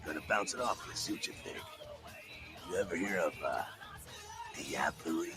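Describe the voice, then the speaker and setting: A man's voice: sits low, normal speed, raised voice, natural voice. An actor playing a streetwise, sardonic male vampire rebel leader in a video game, talking in a drawling, conspiratorial way. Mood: wry. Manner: drawling, conspiratorial, calls the player kiddo. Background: game music.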